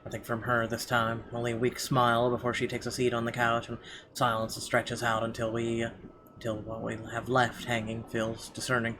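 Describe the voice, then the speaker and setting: A man's voice: mid-range voice, monotone, normal speed. A man reading a visual novel's story aloud as a gameplay host. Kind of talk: reading game narration aloud. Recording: clear background noise, game ambience.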